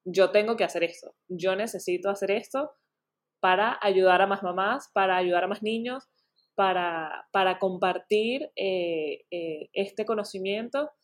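An earnest woman guest talks through her story in a relaxed interview, her voice sitting at an everyday middle pitch. She often repeats the start of a phrase before going on, and her sentence endings fade softly. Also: measured pace. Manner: earnest, often restarts words, soft trailing endings. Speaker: naturopath guest. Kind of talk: casual interview chat.

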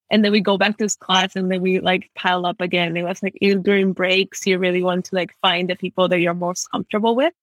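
Young Ecuadorian-raised woman guest, chatty and animated, dry close recording. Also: medium pitch, no echo. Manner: chatty, uses lots of "like", animated storytelling. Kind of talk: casual interview conversation.

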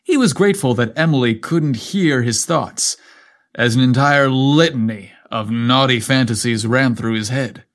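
A man narrates a scripted story with an expressive, dramatic delivery. His voice sits at a medium pitch, and he tells it like a performed tale. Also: moderate pace.

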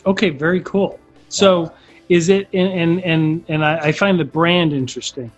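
A man's voice: a little high, fairly even intonation, moderate pace, faint music behind. A man hosting a podcast interview, chatty and casual, with frequent stumbling restarts. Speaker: podcast host.

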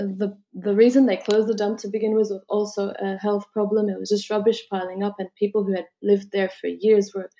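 A woman from Lebanon, whose first language was French, answers interview questions with a gravelly edge to her voice. Her tone is dark and mellow. She talks thoughtfully, restarting and filling pauses often. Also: medium pitch, poor audio. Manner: thoughtful, many restarts and fillers. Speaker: travel concierge.

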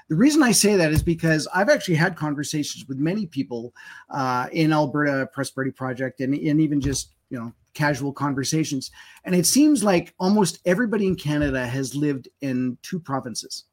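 A man hosting a live webinar interview, chatty and hesitant, with frequent fillers. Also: fairly high pitch. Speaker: webinar host.

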